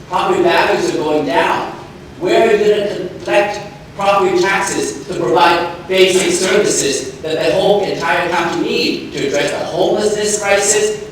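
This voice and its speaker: A man with a somewhat high voice pleads insistently with a board, heard from far across an echoing hall. Faint noise runs underneath. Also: fairly bright tone. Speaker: public commenter. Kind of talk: public comment at a board meeting. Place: large public meeting chamber. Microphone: body camera across the room.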